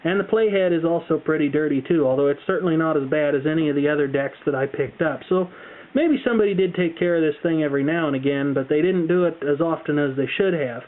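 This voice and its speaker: A man's voice: a little high, quick pace. Thin-sounding American man giving a casual tutorial. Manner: chatty, careful, explanatory. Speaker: tutorial presenter. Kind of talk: casual how-to demonstration. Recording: thin, phone-like band-limited sound.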